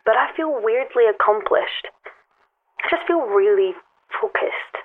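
An actress playing a reflective, weary but serene climber in an audio drama, talking gently and hesitantly through a telephone filter. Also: female voice, on the high side, expressive, unhurried. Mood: awed, serene. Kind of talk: naturalistic audio drama acting, intimate conversation. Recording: tinny phone line, music underneath.

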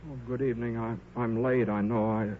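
An actor playing a shy, absent-minded middle-aged scientist in a 1950s radio drama, with a hesitant, stammering delivery. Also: male voice, mid-range voice, level tone, a little fast, natural voice. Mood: weary, resigned. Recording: muffled, noisy old radio broadcast.

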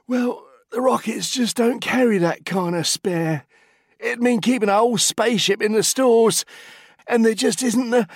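A man's voice: high voice, dry recording, studio quality, voice pushed up, full character voice. A man doing a chatty, matey space captain.

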